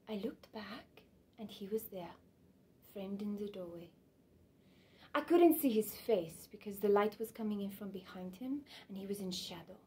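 A woman's voice: medium pitch, dark tone, a little hiss or hum, lightly acted. Woman acting a frank, sensual young woman. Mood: hushed, captivated. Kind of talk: theatrical stage monologue.

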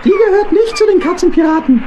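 A woman's voice: very high voice, animated, fast talker, lo-fi sound, background noise. A woman voicing a plucky, eager little animal shipmate in a children's audio drama. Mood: eager, bold.

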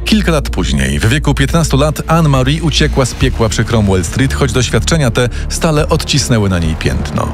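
A man's voice: mid-range voice, very animated, fairly quick, few pauses. A man reading a scripted true-crime story as a podcast narrator, recorded as a studio voice-over. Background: music.

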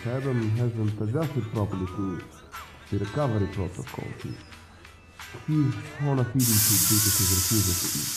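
A man's voice: on the low side, lightly acted. A man playing a grave, cautious doctor in an audio drama, clinical and hesitant. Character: grave, cautious doctor warning about a patient. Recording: echoey room, prominent music.

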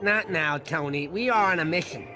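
A man's voice: a little high, normal speed, natural voice. A man voicing a goofy, eager, put-upon male ground sloth in a video game cutscene. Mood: reluctant, sarcastic. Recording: music behind the voice.

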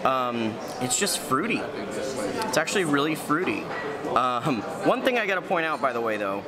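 A man's voice: mid-range voice, sing-song, moderate pace. A man in his thirties casually reviewing beers at a brewery cafe, chatty and enthusiastic with lots of fillers. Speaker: beer reviewer. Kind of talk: casual beer tasting review. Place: busy brewery cafe indoors. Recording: loud cafe crowd behind. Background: crowd chatter.

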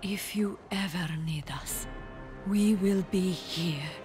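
An actress playing a gentle, solemn alien warrior woman in a game cinematic, soft and measured. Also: on the low side, music in the background.

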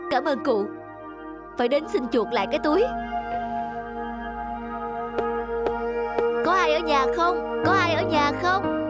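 A woman voicing a middle-aged male traveler in an animated folk tale, polite, effusive and pleading. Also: sits high. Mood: anxious. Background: music.

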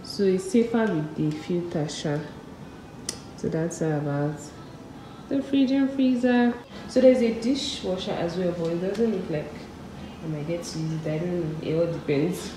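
A young woman chats her way through an empty apartment. Her voice sits low. She is recorded on a handheld phone in bare rooms that ring with echo, with steady noise behind her. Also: a little slow, recorded from a distance. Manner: chatty, talks while walking through rooms. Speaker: vlogger. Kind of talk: casual vlog narration. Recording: echoey bare room with background noise.

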